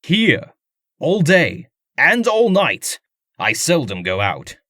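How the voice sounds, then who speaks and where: A man's voice: fairly high pitch, wildly swooping pitch, slow, bright, forceful tone, no room sound, studio quality, heavily acted. An actor playing a haughty, wealthy nobleman in a comic dub, speaking in a formal and pompous manner. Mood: indignant.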